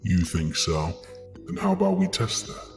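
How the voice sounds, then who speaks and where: A man doing a deep, echoing, taunting villain. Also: wildly swooping pitch, moderate pace. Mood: taunting, menacing. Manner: mocking, coolly threatening. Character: menacing, taunting male villain playing cruel games. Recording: long hall reverb with clear music.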